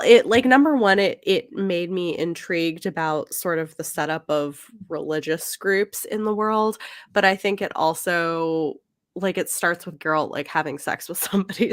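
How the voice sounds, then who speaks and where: A woman co-hosting a podcast, chatting casually about books in an informal, halting way as she thinks aloud. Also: medium pitch, no room sound, studio quality. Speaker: podcast co-host. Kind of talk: casual book discussion chat.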